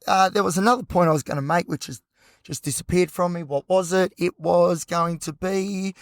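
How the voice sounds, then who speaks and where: An Australian man hosting a solo podcast with a hoarse, croaky voice, talking passionately and rambling with lots of fillers. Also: high-pitched, fairly even intonation, normal speed, dry recording. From Newcastle, New South Wales, Australia. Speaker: podcast host.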